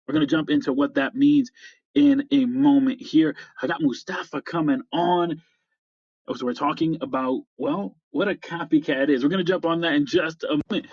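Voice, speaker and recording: A middle-aged American man hosting a motivational podcast, speaking in deliberate, emphatic phrases with repetition. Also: fairly high pitch, lively intonation, gravelly, breathy edge, no room sound. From upstate New York, United States. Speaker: podcast host. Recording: slightly dull, dry lo-fi audio.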